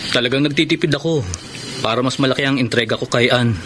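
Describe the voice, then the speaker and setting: An actor playing an earnest young boyfriend in a Filipino radio romance, speaking sincerely and emphatically. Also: male voice, mid-range voice, fast talker, fairly bright tone, a little hiss or hum, close to an everyday voice. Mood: confident, hopeful. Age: young adult. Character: earnest young boyfriend, devoted but easily exasperated. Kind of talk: Tagalog radio romance drama acting. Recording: music bed under the voice.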